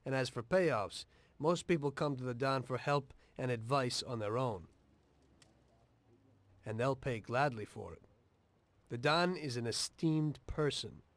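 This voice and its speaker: An actor playing a weary, pragmatic middle-aged mobster in a video game, plainspoken and measured. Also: male voice, medium pitch, animated, dry recording, lightly acted. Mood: defensive, insistent.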